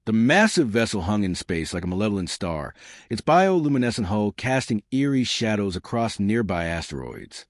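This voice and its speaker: A man reading a scripted science fiction adventure story in a dramatic, measured storytelling manner. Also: fairly low pitch, big pitch swings, dry recording, clean studio sound. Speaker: audiobook-style story narrator. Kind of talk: scripted narration of a sci-fi adventure.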